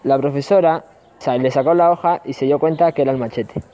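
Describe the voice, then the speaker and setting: A man's voice: a little high, brisk, dark tone. A man with an Argentine accent narrating a scripted amateur radio play. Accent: Argentine Spanish. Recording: dull, lo-fi amateur recording.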